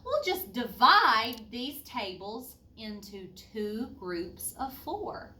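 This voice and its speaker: A woman voicing upbeat, reassuring relatives and neighbors in a storybook, explaining confidently with drawn-out words. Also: a little high, sing-song, sharp tone, full character voice. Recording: roomy, distant mic, faint noise.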